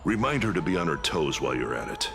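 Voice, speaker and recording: Very deep, gruff warrior lord. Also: male voice, big pitch swings, quick pace, music in the background, heavily acted. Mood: stern. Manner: blunt, commanding, sardonic. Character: gruff, wary veteran warrior lord, loyal and combative. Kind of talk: video game voice acting.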